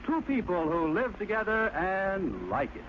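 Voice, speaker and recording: A man's voice: high voice, very animated, a little slow, slight background noise. A man announcing a comedy in old-time radio style, with a lo-fi sound. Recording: dull lo-fi sound, loud music.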